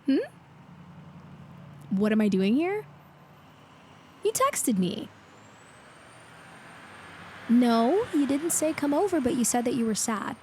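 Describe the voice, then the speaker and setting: A woman playing a blunt but caring best friend in a comforting roleplay ASMR audio, talking casually with thoughtful pauses. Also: a little high, sing-song, mellow, a little hiss or hum. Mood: insistent, caring. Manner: casual, conversational, hesitant pauses. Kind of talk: intimate roleplay ASMR, casual one-sided conversation.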